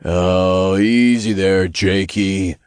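A man voicing a tiny, crude male imp in an audiobook, loud, slangy and obnoxious. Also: medium pitch, big pitch swings, normal speed, dry recording, pitched above the performer's own voice. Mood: teasing.

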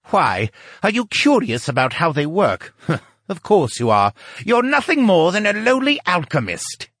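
A man's voice: sing-song, moderate pace, a bit bright, slightly rough, no echo, studio quality. A man voicing a haughty, touchy male scientist in an audiobook, with a mocking, scornful manner. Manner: mocking, scornful, shrill when provoked. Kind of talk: audiobook character acting.

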